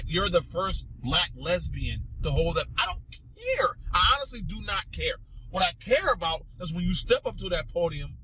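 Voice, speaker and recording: A man's voice: high-pitched, sharp tone, slight background noise, rough recording. A man hosting a political talk show, speaking straight to listeners in an emphatic, indignant monologue full of repetition and rhetorical questions. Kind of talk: opinionated political commentary monologue addressed to listeners.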